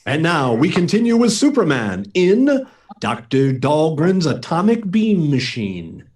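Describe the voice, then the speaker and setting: A theatrical male serial announcer, performed by a man in 1940s radio style. His fairly high voice rises and falls dramatically, slightly away from the mic. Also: huge pitch swings, a little slow, a little mellow, soft background music. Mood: portentous, suspenseful. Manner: portentous, theatrical. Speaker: narrator and announcer. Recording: distant mic, live remote performance.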